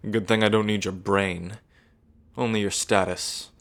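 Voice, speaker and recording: A man's voice: fairly low pitch, fairly even intonation, slow. A man playing a smug, menacing evil prince in an ASMR roleplay, mocking and condescending, drawling like a theatrical villain. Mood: scornful menace.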